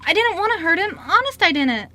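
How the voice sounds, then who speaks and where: A tiny, piercing, bright child's voice. The little orphan girl is scared and apologetic, pleading earnestly in a radio play. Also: extremely high pitch, very animated, brisk, exaggerated voice. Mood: tearful pleading. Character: pleading five-year-old orphan girl, scared and sorry. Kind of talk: amateur radio play acting.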